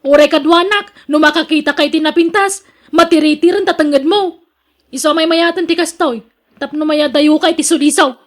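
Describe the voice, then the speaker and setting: A woman's voice: high voice, fairly even intonation, normal speed, close to an everyday voice. A woman with an Ilocano accent playing a jealous, hardworking, exasperated wife in a radio drama.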